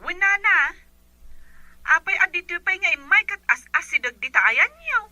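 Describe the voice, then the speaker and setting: Brief: actress as complaining storekeeper on the phone, very high piercing voice, comedy drama. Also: female voice, lively intonation, normal speed, sharp tone, dry recording, exaggerated voice. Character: shrill storekeeper phoning to tattle about a debtor. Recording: dull band-limited telephone-call sound.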